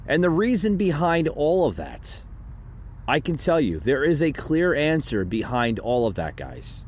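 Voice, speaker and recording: A man delivers slow, indignant political commentary on his own podcast, his pitch rising and falling a lot. He rambles and restarts often. The recording is slightly muffled, with faint noise behind him. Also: huge pitch swings, a little mellow. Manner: rambling and repetitive, with many fillers and restarts; indignant and preachy. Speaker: podcast host.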